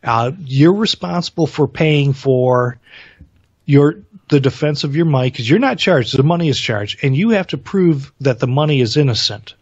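A middle-aged man with a husky voice co-hosting a casual political talk show, recorded at home on a close microphone with dull sound. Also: medium pitch, animated, moderate pace, no echo. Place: small home room, online video show. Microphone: close desk microphone, headset.

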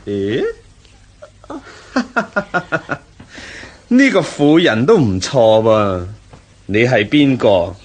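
An arrogant conquering warlord in a historical radio drama. He gloats and mocks with a slow swagger and very lively swoops of pitch. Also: full character voice. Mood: lustful, boastful. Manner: swaggering, mocking, drawn-out. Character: gloating, arrogant conquering male Xiongnu general.

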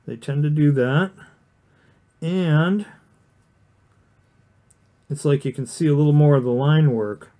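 A man's voice: on the high side, slow, pauses often, mellow. A man teaching watercolor painting on a casual livestream, chatting and rambling with many fillers and restarts while he works. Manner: talks while painting, plain and encouraging. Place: indoor studio with overhead camera on the painting desk.

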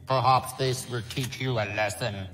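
A man voicing a pompous, menacing disembodied brain villain in a cartoon, speaking in a measured way. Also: fairly even intonation, very noisy, natural voice. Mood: smug, menacing. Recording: long booming reverb, music behind.

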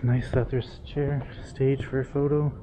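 A man of medium pitch talks half to himself as he explores an abandoned school, keeping his voice low and often slipping into a whisper. He is recorded on a wide-angle camera, and strong room noise runs behind him. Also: flat delivery, moderate pace. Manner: low-key, murmured, sometimes whispered. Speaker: urban explorer vlogger. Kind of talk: quiet running commentary while exploring. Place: abandoned school building, large indoor rooms.

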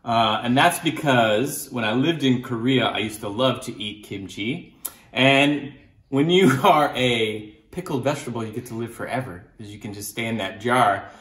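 Guy in his 30s, kids book author chatting, bright voice, bit echoey. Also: mid-range voice, normal speed. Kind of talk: animated storytelling and chat to children.

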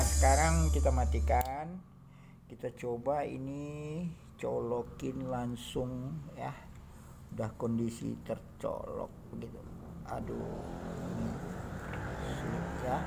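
Slow-talking man with a creaky voice. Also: mid-range voice. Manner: halting, muttering to himself while working. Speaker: hobbyist electronics builder demonstrating circuits. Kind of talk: informal hands-on demo narration. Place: indoor home workbench. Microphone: handheld phone.

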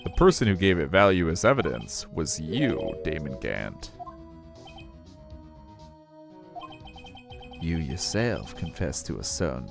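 A man playing a determined, confident young defence lawyer in a video game, arguing emphatically and deliberately. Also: deep voice, gravelly, full character voice. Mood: accusing.

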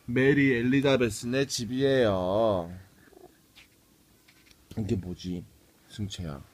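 A man, a father, reading a picture book aloud to his child in an animated way, with little asides. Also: mid-range voice, takes it slowly.

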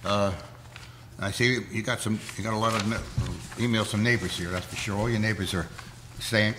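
A hoarse man with a cold, chairing a zoning board meeting in plain, folksy words, heard through a table mic in a town hall. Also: on the low side, steady tone, normal speed, background noise. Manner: plain-spoken, folksy, many fillers.

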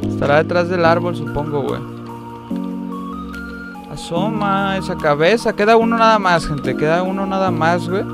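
Excitable high-voiced Mexican young man. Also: moderate pace, long pauses. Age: young adult. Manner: excitable, chatty, slangy, frequent high-pitched outbursts. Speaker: gaming streamer. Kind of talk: live gameplay commentary. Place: indoor room at a desk, facecam. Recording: game music underneath. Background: game music.

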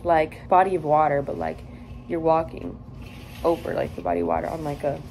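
A woman's voice: sits low, very animated, a little fast, a little mellow. Young American woman vlogging over room noise. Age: young adult. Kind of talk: casual get-ready-with-me vlog chat. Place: hotel room, indoors. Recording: noisy room ambience.

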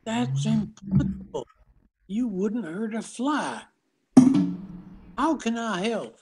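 An older woman playing a kindly, protective shopkeeper with a husky voice in an amateur radio drama. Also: on the high side, expressive, slow pace, over music.

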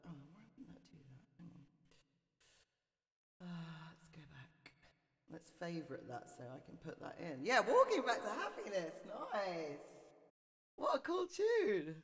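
A middle-aged woman with a low voice, casually chatting to viewers on her live request stream and muttering quietly to herself, close on a studio mic. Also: slightly rough. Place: home music room, indoors. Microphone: studio condenser mic on boom arm, wearing headphones.

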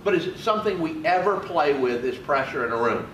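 A man's voice: a little high, expressive, a little fast, a bit bright, recorded from a distance, lo-fi sound, slight background noise. Man teaching in an echoey room. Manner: quizzing students, seated and gesturing broadly. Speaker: HVAC trainer. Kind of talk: casual classroom teaching. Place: training room with an AC unit. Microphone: camera mic from across the room.

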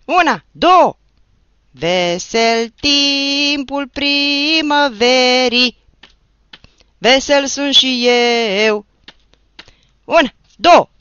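A reader voicing a hot-tempered young village teacher who barks roll-call names and marching orders at full shout. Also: high voice, clean tone, no room sound, dull audio, voice pushed up. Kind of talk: audiobook narration.

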